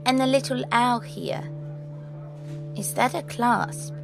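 A woman's voice: on the high side, slow, vocal fry, over music, rough recording. An actress playing a prim, ancient girl in a nine-year-old's body, in an audio drama. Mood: curious. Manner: proper, old-fashioned formal phrasing.

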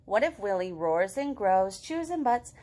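A woman in her thirties reading aloud to young children as a storytime host, expressive and full of questions to draw them in. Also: mid-range voice, measured pace. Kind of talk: animated picture-book read-aloud for young children. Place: living room at home.

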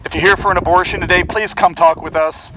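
A man's voice: sits high, steady tone, fast talker, noisy background. A man preaching in the open air outside a building, calling out insistently to people passing by. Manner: projecting, insistent pleading. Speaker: street preacher. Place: outdoors by a fence and bushes.

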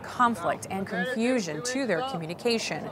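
A woman host reading a scripted science narration, bright and inviting, asking questions of the viewer. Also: medium pitch, expressive, normal speed, a loud crowd.

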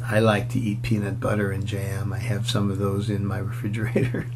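A man's voice: on the low side, completely flat delivery, normal speed. A man in his sixties reading a children's storybook aloud, adding simple, casual explanatory asides as if to young children. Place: small furnished room indoors. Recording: clear background noise.

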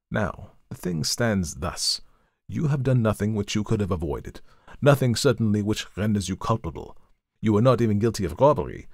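A man voicing a genial, sharp-witted gentleman detective who speaks in a measured, courteous way. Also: on the low side, no echo, clean studio sound.

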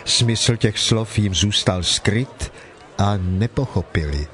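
A man's voice: on the low side, fairly bright tone. A man reading scripted narration for a dramatized scripture production. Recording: faint music behind the voice.

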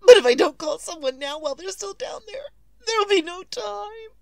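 An actress playing a terrified, desperate wife pleading breathlessly and haltingly in an old-time radio thriller. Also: female voice, extremely high pitch, lively intonation, creaky, no room sound, studio quality, full character voice. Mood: tearful pleading. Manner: breathless, halting, repeating herself.

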